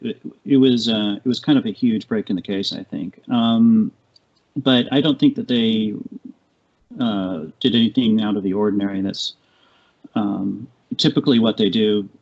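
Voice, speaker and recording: A man in his late forties talking as a podcast guest, halting with lots of fillers and restarts. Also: on the low side, vocal fry. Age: 40s. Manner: halting, many fillers and restarts, laughs along. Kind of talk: casual chat. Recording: dull lo-fi audio.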